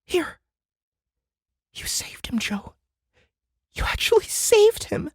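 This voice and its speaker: A man plays a distraught mother in an audiobook drama. The voice jumps high and swings widely in pitch, intense and pleading, close and dry. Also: big pitch swings, takes it slowly, dry recording, heavily acted. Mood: pained, stunned. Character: worried, emotional mother of a conscripted boy.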